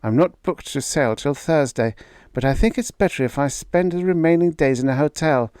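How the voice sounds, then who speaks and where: A woman's voice: low-pitched, normal speed, clean tone, dry recording, clean studio sound, voice pushed up, close to an everyday voice. Man doing a young woman standing up to her father. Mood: resolute. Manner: firm, quietly resolute.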